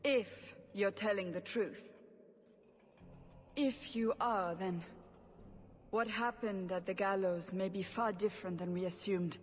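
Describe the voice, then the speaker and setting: A woman's voice: mid-range voice, steady tone, slow, pauses often, in a large hall, a little hiss or hum, natural voice. An actress playing a stern, earnest woman investigator in a video game, questioning in a probing manner. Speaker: interrogator. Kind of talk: video game cutscene acting.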